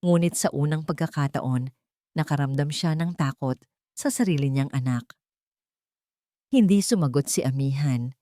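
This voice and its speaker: A woman reading a dramatic scripted story as narrator, in a measured and solemn manner. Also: deep voice, warm tone, no room sound, clean studio sound.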